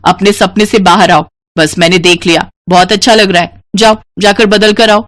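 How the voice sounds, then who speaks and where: A woman's voice: medium pitch, steady tone, moderate pace, a bit bright, lightly acted. A woman voicing a haughty, bossy young rich woman in a moral story, imperious and belittling. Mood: scornful, angry.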